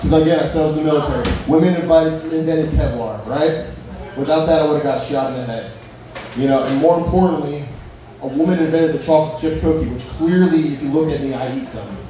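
A man's voice: on the high side, fast, highs cut off. A young man doing a stand-up set at a bar open mic, working the crowd in a crude, rambling, self-mocking way. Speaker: stand-up comedian. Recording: echoey bar from far off, noisy.

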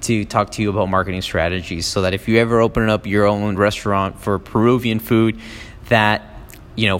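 A man's voice: lowish voice, fairly bright tone. Rambling man in a reverberant room. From United States (Miami). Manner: casual, rambling, many ums and restarts. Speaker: podcast host. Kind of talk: solo podcast monologue. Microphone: phone app.

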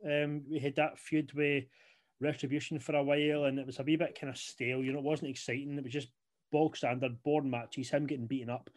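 A man's voice: mid-range voice, level tone, a little fast. A young man co-hosting a wrestling podcast, rambling casually and leaning on "you know" fillers. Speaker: podcast co-host. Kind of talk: casual chat.

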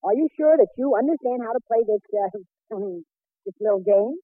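A bumbling, good-natured husband with an unusually high, excitable pitch. He stammers and gets flustered easily, in vintage radio comedy style. The sound is dry, thin and tinny. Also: moderate pace, no echo. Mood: eager, doubtful. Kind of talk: 1940s radio comedy acting. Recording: tinny, narrow-band old radio recording.

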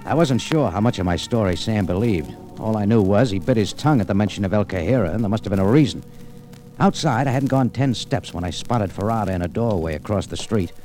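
Guy acting as tough wry detective in old radio show, clipped lines, pitch moves a lot, music on the recording. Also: lively intonation, fairly quick, darkish tone, natural voice. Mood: tense, narrating. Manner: clipped, hard-boiled, wry. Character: tough, wry American cafe owner turned amateur sleuth. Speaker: lead actor and narrator. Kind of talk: 1940s radio detective drama, first-person narration.

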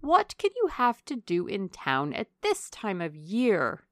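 A woman's voice: mid-range voice, huge pitch swings, slow, no echo, studio quality. A woman voicing a nosy, jolly older matron in a storybook reading, prying and exclaiming with swooping emphasis. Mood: insistent.

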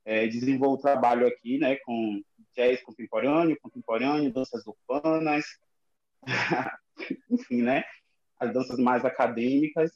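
A man with a Bahian Brazilian accent speaks casually as a guest. His pitch is average. The sound is dull and lo-fi. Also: fairly even intonation, measured pace, a little mellow. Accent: Bahian Brazilian Portuguese. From Lauro de Freitas, Bahia, Brazil. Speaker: guest, dancer and company director. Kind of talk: casual spoken introduction in an online conversation. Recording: dull lo-fi online audio.

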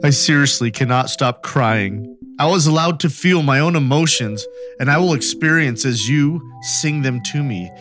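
A man's voice: mid-range voice, moderate pace, voice pushed up, close to an everyday voice. A man playing a devout, adoring mystic lover in a theatrical dramatic reading, grave and melodramatic. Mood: adoring, fervent. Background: music.

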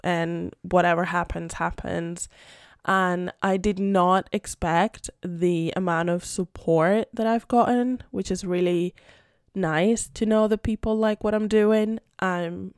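A woman's voice: lowish voice, creaky, clear, resonant tone, dry recording, clean studio sound. A young woman from Spain, a native Spanish speaker, chatting casually and a bit rambling on her podcast, full of fillers. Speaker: podcast host and English teacher. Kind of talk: casual solo podcast chat.

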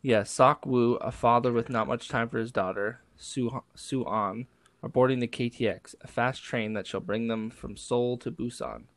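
A man's voice: mid-range voice, fairly even intonation, moderate pace, no room sound. Casual man on a dry mic. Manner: casual, swears freely, trails off and restarts. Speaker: podcast co-host. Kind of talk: casual movie review chat.

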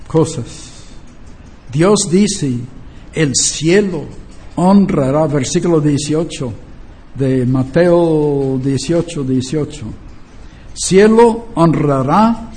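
Slow-paced older preacher. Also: male voice, a little high, dark tone. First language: not a native Spanish speaker. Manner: emphatic, repeats key phrases and checks understanding. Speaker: preacher and Bible teacher. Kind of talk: church teaching sermon with rhetorical questions.

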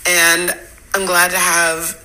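A hoarse woman leaving a halting phone message for a podcast, with many pauses and restarts. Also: on the low side, monotone, bright, forceful tone, poor audio. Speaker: listener contributor. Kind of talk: recorded voice message. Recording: phone voice memo with faint noise.

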